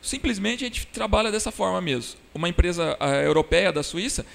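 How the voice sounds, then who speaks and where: A man's voice: high-pitched, animated, fairly quick, creaky. A man from São answers conversationally as an interview guest. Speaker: guest, technology company entrepreneur. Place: glass studio inside a shopping mall. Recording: long reverb of a hall-like room.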